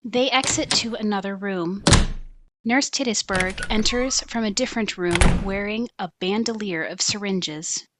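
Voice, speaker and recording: Woman narrator over loud noise. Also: mid-range voice, unhurried. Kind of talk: scripted stage-direction narration.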